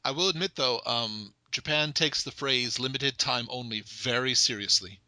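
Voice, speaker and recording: A man's voice: mid-range voice, normal speed, bright, forceful tone, dry recording. A man hosting a casual chat, playful and joking. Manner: playful, joking, frequent laughs. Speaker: podcast host.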